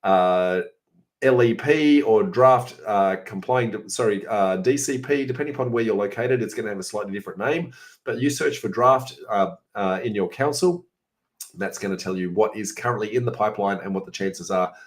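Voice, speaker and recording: A middle-aged man with an Australian accent, hoarse and raspy today, hosting a casual question-and-answer session and chatting through answers with many filler sounds. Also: on the low side, moderate pace. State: hoarse, coughing. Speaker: host answering viewer questions. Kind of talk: informal Q&A session.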